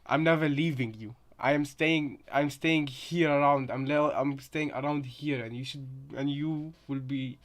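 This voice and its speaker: A man giving casual reaction commentary on game voice lines, rambling and halting, often repeating his phrases. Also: a little high, fairly even intonation. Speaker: reaction video host.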